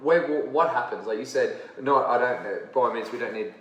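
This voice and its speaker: A man's voice: medium pitch, quick pace, fairly bright tone. A man in his twenties with an Australian accent, hosting a casual podcast interview and asking loose, rambling questions. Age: 20s. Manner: laid-back, rambling questions with "you know" and "yeah". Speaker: podcast host. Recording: roomy sound from a distant mic.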